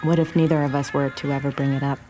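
A woman's voice: rumbling low, little pitch movement, fast, warm tone, faint music behind. An actress playing a kind, practical and guarded woman who runs a restaurant, in naturalistic audio drama. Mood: gentle, careful.

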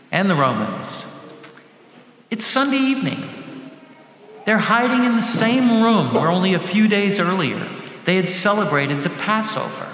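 An older man preaching a sermon as a deacon, speaking deliberately in short phrases with dramatic pauses. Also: high voice, sharp tone. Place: large church sanctuary, at the lectern. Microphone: gooseneck lectern microphone. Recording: echoey church hall with faint background noise.

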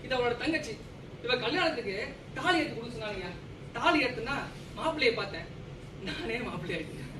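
A man's voice: extremely high pitch, animated, fast talker, long pauses. A man acting in a comic stage play as a pompous, nitpicking householder, scolding and exasperated. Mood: smug, dismissive. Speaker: stage actor. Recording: distant mics, echoey hall, noisy.

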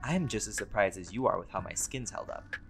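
Casting: male actor as an ancient, easygoing vampire for audio roleplay, lowish voice, wry and vain, music underneath. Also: lively intonation, brisk, gravelly. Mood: wry, boastful. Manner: casual, wry, sweary, bantering. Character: laid-back centuries-old male vampire, vain, wry, teasing. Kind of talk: conversational roleplay audio drama.

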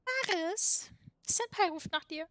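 A woman's voice: very high voice, lively intonation, slow, a bit bright, no room sound. A woman streaming a game with friends, chatting playfully, teasing and giggling often. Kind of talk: casual gaming chat.

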